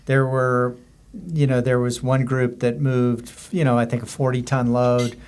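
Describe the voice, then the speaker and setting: A man's voice: mid-range voice, level tone, a little slow, darkish tone, a little hiss or hum. An American man, a guest author on a podcast, tells casual, rambling stories and explains them with many examples. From US border region. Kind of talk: casual anecdotal storytelling.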